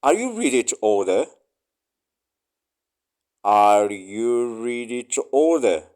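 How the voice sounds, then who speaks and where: A man reading short English phrases aloud for learners, saying each one three times slowly and clearly. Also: mid-range voice, very animated, darkish tone.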